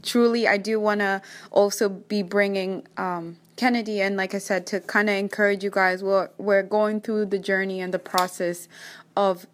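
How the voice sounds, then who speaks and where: A woman's voice: medium pitch, little pitch movement, moderate pace. A young woman from Kenya with a Kenyan accent, hosting a faith-based podcast in an upbeat, encouraging way. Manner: upbeat, encouraging, praise-filled. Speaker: podcast host.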